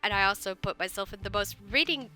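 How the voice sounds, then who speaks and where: A woman's voice: mid-range voice, fast, pushed and bright, clear, resonant tone, soft background music. A woman hosting a let's play, recovering from a cold, chatting casually and rambling as she reads along. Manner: rambling, chatty, frequent fillers and restarts. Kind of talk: casual gameplay commentary and reading aloud.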